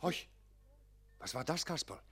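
A man in a German radio play acting a pious, kindly, naive village pastor with a husky voice. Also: a little high, lively intonation, unhurried, fairly bright tone, heavily acted. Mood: startled, pitying.

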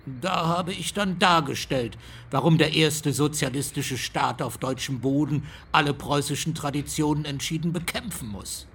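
An older East German academic in a radio play, looking back with smug self-justification. His voice is fairly high for a man and gravelly with creak, and he talks in measured, conversational phrases. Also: fairly bright tone, full character voice. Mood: complacent reminiscing. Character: older East German Marxist historian, self-satisfied, reminiscing. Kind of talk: radio drama monologue.

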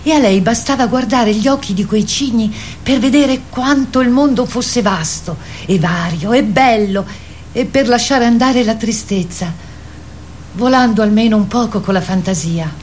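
A woman's voice: fairly high pitch, moderate pace, a little mellow, a little hiss or hum, close to an everyday voice. A woman playing a wistful grandmother who tells fairy tales in a radio play. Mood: gentle, wistful. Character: wistful grandmother telling a fairy tale to her fearful grandchild. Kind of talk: theatrical storytelling in a radio play.

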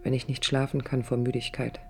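An actress playing a sensitive, proud yet vulnerable young woman architect, narrating her inner thoughts in short halting phrases for a radio drama. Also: rumbling low, little pitch movement, warm tone, soft background music. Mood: wistful, reflective. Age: young adult.

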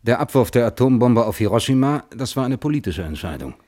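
An actor playing a guarded, reflective physicist on the witness stand in a German radio play, answering tersely and precisely. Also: male voice, mid-range voice, big pitch swings, fairly quick, darkish tone, lightly acted. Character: measured, guarded male physicist testifying as witness. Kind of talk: German radio play acting, hearing testimony.

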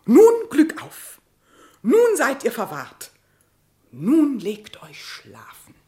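A woman's voice: sits high, wildly swooping pitch, takes it slowly, mellow, heavily acted. A woman playing a scheming, solicitous animal aunt in a classic radio play, coaxing theatrically in verse. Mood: rousing.

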